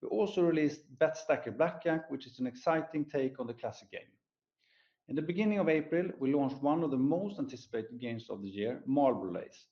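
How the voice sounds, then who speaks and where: A man's voice: medium pitch, expressive, brisk. Male executive on a muffled conference call. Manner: formal, scripted delivery. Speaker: company executive presenting quarterly results. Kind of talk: prepared earnings call presentation. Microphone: conference call line.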